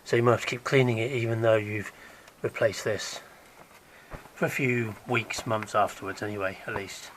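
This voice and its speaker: A man with a croaky voice gives a casual, hands-on how-to while working on an engine in a garage, chatting along and dropping to a mutter as he works. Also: on the low side, fairly even intonation, normal speed, a bit bright, slight background noise. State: croaky, recovering from illness. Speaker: DIY car repair vlogger.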